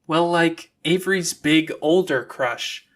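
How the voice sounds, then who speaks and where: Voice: medium pitch, slow, pitched above the performer's own voice, natural voice. A narrator voicing a sensible, wry and caring teenage girl in an audiobook.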